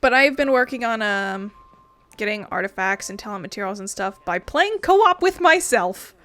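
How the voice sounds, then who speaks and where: A woman's voice: medium pitch, lively intonation, moderate pace, fairly bright tone. A woman giving casual let's play commentary, rambling and playful, with the odd swear word. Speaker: gaming video host.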